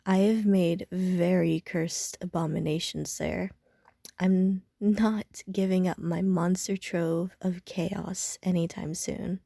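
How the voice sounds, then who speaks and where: Slow-talking, soft-toned young gamer woman, played by a voice actress, self-deprecating, slightly prickly, hesitant, for intimate audio roleplay. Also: on the low side, steady tone, vocal fry, no echo, heavily acted. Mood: relaxed, chatty. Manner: hesitant, slangy, dry, self-mocking. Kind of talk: intimate audio roleplay, casual chat to the listener.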